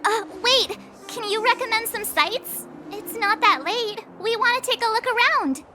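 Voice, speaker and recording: A woman's voice: very high voice, unhurried, music in the background. A woman voicing a perky, curious young girl adventurer in a video game, bubbly, casual and outspoken. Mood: eager, curious.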